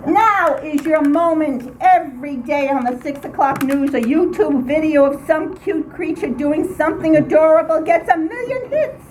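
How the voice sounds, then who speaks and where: A woman's voice: high-pitched, normal speed, hardly any pauses, a little mellow. An older woman reading a humorous personal essay aloud in a theatrical, comic storytelling manner. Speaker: writer reading her own account to a writing group. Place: indoor group meeting room. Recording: noisy room recording, background ambience.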